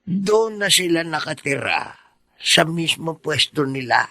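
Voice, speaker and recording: A gravelly, folksy sympathetic neighbour. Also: male voice, fairly high pitch, expressive, a little slow, bright, forceful tone, no room sound, full character voice. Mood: sympathetic, advising. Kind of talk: Filipino radio drama acting.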